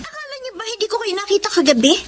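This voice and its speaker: A woman's voice: extremely high pitch, very animated, very fast. A woman playing a sulky, possessive young adult daughter in a Filipino radio drama, speaking with a Filipino accent. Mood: angry. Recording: music under the voice.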